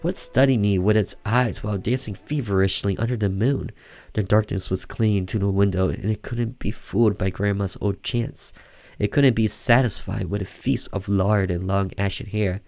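A man reading a horror visual novel aloud in a slow, theatrical, suspenseful narration. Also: lowish voice, steady tone, creaky, a little mellow, no room sound. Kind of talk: dramatic narration of a horror story.

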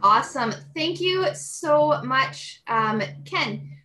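A woman's voice: sits high, expressive, slow, sharp tone. A woman in her thirties hosting an online children's event in a casual way, speaking on a video call. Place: indoor room with cupboards, video call. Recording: distant, echoey room with faint noise.

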